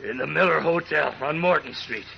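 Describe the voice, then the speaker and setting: An actor playing a tough, slangy gangster henchman in 1940s radio style, with a gravelly voice. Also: a little high, moderate pace, lo-fi sound. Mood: frightened, pleading. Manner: slangy tough-guy talk. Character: tough, menacing male gangster henchman who cowers when cornered. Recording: noisy vintage radio recording.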